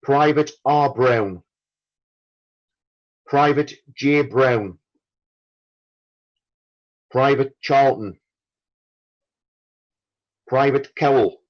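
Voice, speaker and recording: A man reading a solemn memorial roll call in a measured, formal way, pausing after each name. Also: medium pitch, highs cut off. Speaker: veterans' branch chairman reading a roll call.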